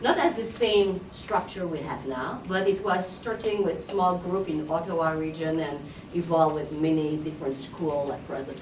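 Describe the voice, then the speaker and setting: A woman with a French Canadian accent, French her first language, giving a friendly, self-deprecating talk. She sounds distant from the mic, with a roomy echo and a constant hum of noise behind her. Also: fairly low pitch. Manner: chatty, self-deprecating, searches for words. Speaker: presenter. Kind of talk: conference presentation. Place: conference room. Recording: echoey hall, distant mic, audience noise.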